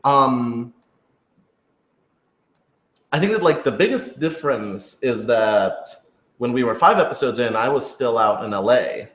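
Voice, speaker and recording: A man's voice: mid-range voice, expressive, measured pace, long pauses, fairly bright tone. A man chatting casually and unscripted as a writer-director answering questions, informal, hedging and self-deprecating. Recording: roomy, echoing room sound.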